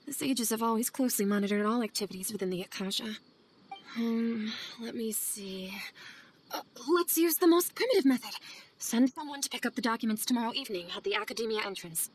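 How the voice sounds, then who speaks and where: Guilt-ridden woman scholar, higher voice. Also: brisk. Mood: cautious, assuring. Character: guilt-ridden female scholar, weary, anxious assistant to sage. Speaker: voice actress in game cutscene. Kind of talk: dramatic video game dialogue. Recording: game audio over clear ambient noise.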